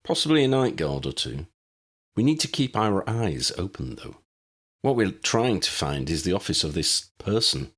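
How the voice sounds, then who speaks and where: A man voicing a calm, practical young wizard who leads a team, in measured, matter-of-fact audiobook dialogue. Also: low voice, full character voice. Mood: watchful.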